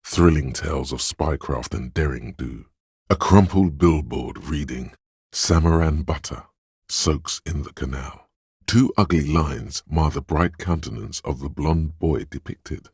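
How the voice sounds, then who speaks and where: A very deep, gravelly, husky male voice speaks as the hero's watchful inner perception in a video game. The delivery is calm and measured, noting small details one at a time. The recording is dry and close. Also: no echo, exaggerated voice. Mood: observant. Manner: measured, observant, softly descriptive delivery. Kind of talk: second-person scripted game narration.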